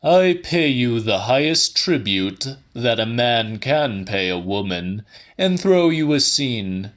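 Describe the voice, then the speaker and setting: A man's voice: medium pitch, sing-song, slow, fairly bright tone. A man voicing a crotchety, impatient old man, blunt and weary, in an audiobook. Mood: probing.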